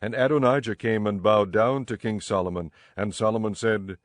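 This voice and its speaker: A man narrating scripture in a measured, formal storytelling manner for a dramatized audiobook. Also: on the low side, fairly even intonation, no echo.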